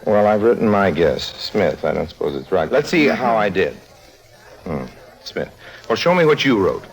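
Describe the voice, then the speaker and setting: A man's voice: on the low side, very animated, normal speed, slightly rough, heavily acted. An actor playing a wily, wisecracking con man in a 1950s radio drama, with a breezy, casual manner and sly asides. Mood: breezy, bantering. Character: wily wisecracking con-man and narrator of his own misadventures.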